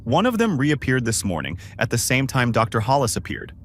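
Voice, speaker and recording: A wry male crewman in a fan-made space drama, voiced by a man, reports conversationally. His mid-range voice has animated rises and falls, with slight noise in the background. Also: medium pitch, a little fast. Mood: informative. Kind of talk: amateur sci-fi audio drama acting.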